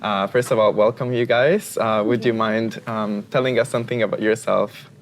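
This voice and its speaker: A man's voice: on the low side, steady tone, normal speed, a little hiss or hum. A young man with an Italian accent, hosting a panel talk. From Italy. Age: young adult. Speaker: talk show host, former student.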